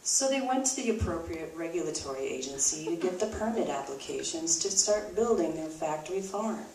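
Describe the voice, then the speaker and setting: A woman with a slightly low voice narrates a puppet show like a wry storybook tale. She is recorded from a distance in an echoey room. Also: expressive, brisk, a little mellow. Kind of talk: scripted storybook-style narration. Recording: roomy, distant microphone, faint noise.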